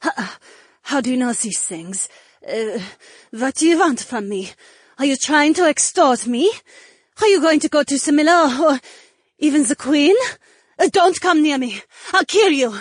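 A wary female guard, acted by a woman in a fantasy audiobook, guarded and easily frightened. The voice is high and swings widely in pitch. The sound is close and dry. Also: animated, measured pace, breathy edge, no echo. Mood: panicked, threatening. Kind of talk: audiobook character dialogue.

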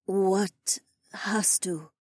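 A submissive catwoman wife played by a woman in fantasy audiobook dialogue. Her voice is breathy and soft, with careful, formal deference. It is recorded dry, without room sound. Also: medium pitch, steady tone, extremely slow, no echo. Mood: meek, careful.

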